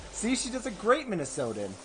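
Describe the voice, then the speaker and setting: A young man casually commenting while playing a video game on a live stream, rambling, swearing and thinking out loud. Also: extremely high pitch, background noise.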